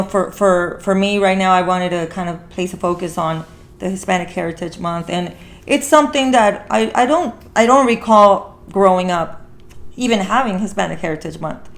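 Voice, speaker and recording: A woman's voice: mid-range voice, moderate pace. A woman hosting a casual talk show, discussing things in an earnest, meandering, conversational way. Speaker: podcast host.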